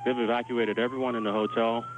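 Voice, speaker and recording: A man's voice: medium pitch, flat delivery, quick pace. A man giving an informal live eyewitness account by phone, in loose phrasing full of 'like', as a hotel worker. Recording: dull noisy phone line, sirens behind. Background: alarms and emergency sirens.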